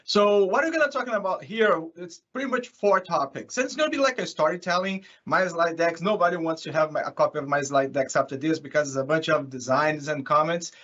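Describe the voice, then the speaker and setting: A man's voice: a little high, brisk, hardly any pauses, fairly bright tone. A man from Brazil with a Brazilian accent, speaking English as a second language, gives an informal, chatty online conference presentation as a consultant. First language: Portuguese. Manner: chatty, often chuckling. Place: remote online session from California. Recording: dull lo-fi online call audio.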